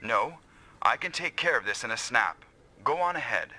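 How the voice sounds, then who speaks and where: A man's voice: medium pitch, animated, normal speed, pushed and bright, no room sound. A man voicing a big, self-confident backup squad mate in an anime-style video game dub. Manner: breezy, assured.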